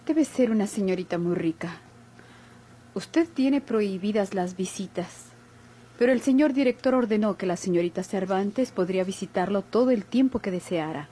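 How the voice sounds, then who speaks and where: A Mexican actress playing an inquisitive, gossipy hospital nurse in a classic radio soap, speaking formally and probingly. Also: female voice, medium pitch, animated, normal speed, dark tone, natural voice. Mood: curious. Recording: old noisy radio drama recording.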